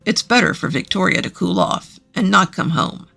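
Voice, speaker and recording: Woman acting a stern older dad, formal, lowered husky voice, bright edge, storybook narration. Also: lively intonation, moderate pace, soft background music, full character voice. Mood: stern, disapproving.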